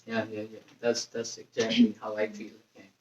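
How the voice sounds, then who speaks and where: Man interviewing in halting English. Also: lowish voice, completely flat delivery, slight background noise. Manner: halting, broken phrasing. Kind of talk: casual interview questions. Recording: echoey room, distant mic.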